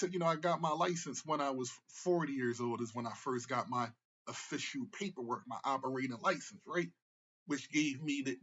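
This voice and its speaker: Husky-voiced man in his forties, lo-fi. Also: fairly high pitch, a bit bright. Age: 40s. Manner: casual, repetitive, tag questions. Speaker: therapist. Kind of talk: motivational talk. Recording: muffled lo-fi recording.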